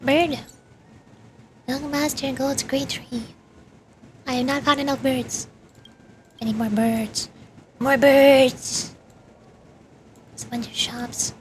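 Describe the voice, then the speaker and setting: A woman's voice: high voice, little pitch movement, slow pace, long pauses, slight background noise. A woman streamer playing a video game, musing aloud in casual commentary and reading dialogue out. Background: game music.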